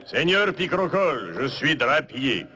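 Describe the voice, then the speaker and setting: A man voicing a petitioning cloth merchant in an animated cartoon. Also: fairly high pitch, measured pace. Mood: pleading. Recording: loud music over VHS rip.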